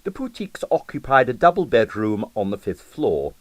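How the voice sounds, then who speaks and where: A man's voice: mid-range voice, sing-song, moderate pace, dry recording. A man reading a comic novel as an audiobook narrator, with wry, theatrical delivery. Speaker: audiobook reader.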